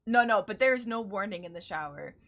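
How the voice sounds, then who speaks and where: A woman's voice: mid-range voice, animated, a little fast, a bit bright. A woman with a Chicago accent hosting a casual interview. She is bubbly and giggly and laughs easily. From Chicago, US Midwest. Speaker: interview host. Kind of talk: casual online interview chat.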